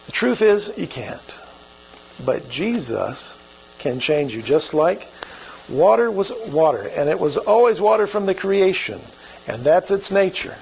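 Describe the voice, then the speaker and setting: A man's voice: sits high, very animated, measured pace, vocal fry, a little mellow, slight background noise, low-quality recording. A man with an Oklahoma accent preaching a sermon as pastor, in a folksy, conversational way.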